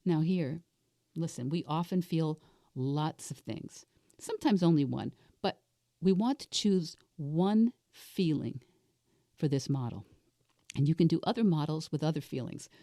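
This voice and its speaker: Older woman in her sixties, deep mellow tone, raspy, slightly unwell, reflective self-coaching podcast monologue, deliberate phrasing. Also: animated, no room sound, studio quality. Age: 60s. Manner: deliberate, reflective, asks and answers own questions. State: unwell with a cold-like illness. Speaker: life coach hosting a solo podcast.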